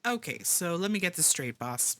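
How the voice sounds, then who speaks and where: A streamer voicing an excitable, cheeky young sidekick with a teasing, incredulous manner. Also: normal speed, no echo. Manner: incredulous, teasing, swooping up high. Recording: loud game music behind.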